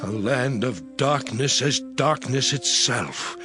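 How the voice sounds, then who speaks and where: A man's voice: mid-range voice, takes it slowly, lightly acted. An actor playing an afflicted, righteous man in a dramatized scripture reading, lamenting and pleading with God in solemn, archaic phrasing. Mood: despairing. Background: music.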